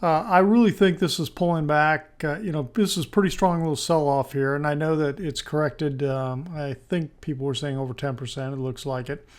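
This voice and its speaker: Slightly hoarse man giving market commentary. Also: fairly high pitch, fairly even intonation, normal speed, few pauses. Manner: informal, tentative, thinking aloud. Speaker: stock market video presenter. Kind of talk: screen-share market analysis commentary.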